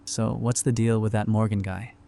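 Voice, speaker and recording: Male actor as friendly, practical post-apocalyptic survivor for audio drama; fairly low pitch, dry casual banter. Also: level tone, moderate pace, gravelly, dark tone. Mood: serious. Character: easygoing, wry male survivor, friendly and pragmatic. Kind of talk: fan audio drama dialogue.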